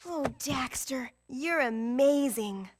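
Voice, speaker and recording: A woman's voice: sits high, animated, slow pace, a little hiss or hum. An actress playing a sweet, admiring young woman in a video game.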